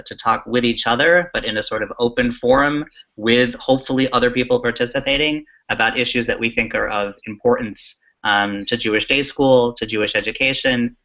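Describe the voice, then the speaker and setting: Male co-host, middle-aged, forward bright tone, halting dry humour, lo-fi call sound. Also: mid-range voice. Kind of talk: casual hosting talk. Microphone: video call. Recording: dull lo-fi online call audio.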